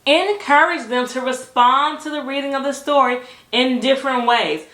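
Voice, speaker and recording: A woman giving instructional talk to fellow educators as a teacher and presenter, phrasing things emphatically and deliberately with rhetorical questions. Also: high voice, sharp tone, reverberant.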